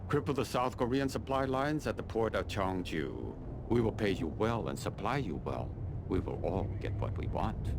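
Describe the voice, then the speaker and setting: An actor playing a cold, calculating colonel in a video game, speaking in a measured, formal, condescending way. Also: male voice, sits low, vocal fry, noisy background. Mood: musing, commanding. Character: cold, calculating middle-aged Chinese army colonel, male. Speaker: military commander giving mission briefings. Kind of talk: video game mission briefing dialogue.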